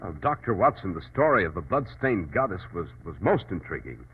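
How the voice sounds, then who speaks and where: A man's voice: low-pitched, very animated, fairly quick. An American man announces in classic 1940s radio style. His scripted delivery rises and falls widely. Organ music plays behind him, and the old recording is somewhat muffled.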